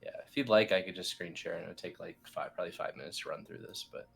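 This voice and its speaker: A man in a team lead role giving casual, informal step-by-step software instructions, feeling his way as he goes. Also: deep voice, fast talker, creaky, breathy edge. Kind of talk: casual step-by-step screen tutorial.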